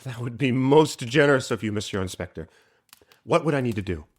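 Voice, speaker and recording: A man's voice: medium pitch, lively intonation, a little fast, natural voice. A man playing an earnest, innocent nineteen-year-old merchant sailor in an audio drama, polite and openly trusting. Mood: anxious, trusting.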